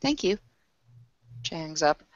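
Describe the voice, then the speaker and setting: A woman's voice: low-pitched, animated, takes it slowly, dry recording, muffled recording. A woman playing a tabletop roleplaying game, speaking casually both in character and as herself.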